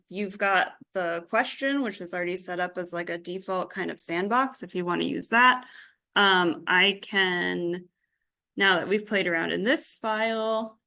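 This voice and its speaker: A woman teaching a software tutorial informally, thinking aloud as she works through each step. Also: fairly low pitch, creaky. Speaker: workshop instructor.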